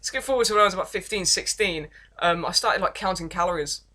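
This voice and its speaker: A young woman with an English accent and a deep voice, telling her life story in a rambling, self-deprecating vlog with many false starts. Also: fast talker, bright, forceful tone. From England. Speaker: vlogger. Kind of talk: casual confessional vlog, reading from notes.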